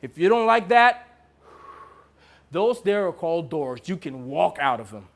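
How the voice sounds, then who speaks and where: A man playing a bossy, dismissive film director who talks down to people in a curt, measured, patronising way. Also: on the high side, big pitch swings, vocal fry, heavily acted. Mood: stern, dismissive. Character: condescending, bossy male film director, dismissive and money-minded.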